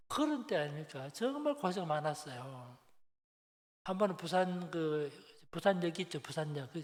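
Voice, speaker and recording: Korean guy in his 70s preaching, busan accent, kinda high voice, big echoey church hall. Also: a little mellow. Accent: Busan Gyeongsang. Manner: colloquial, rhetorical questions to listeners, repeats phrases for emphasis. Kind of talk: Sunday afternoon sermon, conversational storytelling. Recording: long hall reverb.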